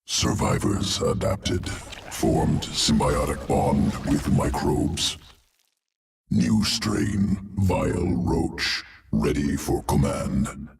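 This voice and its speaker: A cold alien creature-scientist with an extremely deep, grinding gravelly voice, talking in slow, clipped fragments. The voice sits back from the mic with game music behind. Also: male voice, recorded from a distance, slight background noise, heavily acted. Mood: clinical. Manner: clipped fragmented speech with no small words, detached.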